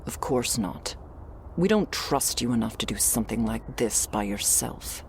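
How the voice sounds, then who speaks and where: A woman's voice: very deep voice, expressive, a little slow, creaky, a little hiss or hum, full character voice. A woman playing a stern, irritable vampire guard in a scripted audio drama, curt and scolding, giving orders. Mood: exasperated, firm.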